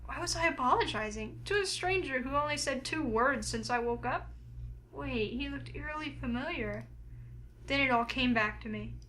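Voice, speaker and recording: A woman's voice: high voice, normal speed, a little hiss or hum, poor audio. A woman voicing a flustered, wary young heroine who narrates a visual novel game in the first person. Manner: expressive, flustered.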